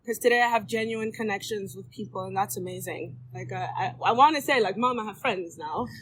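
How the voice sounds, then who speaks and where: Woman, 30s, heartfelt recovery share to a group in a room, halting and candid. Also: medium pitch, fairly bright tone, a little hiss or hum, low-quality recording. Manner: candid, halting, with many ums and restarts. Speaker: recovery meeting speaker. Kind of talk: personal share at a recovery meeting. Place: meeting room before a seated circle.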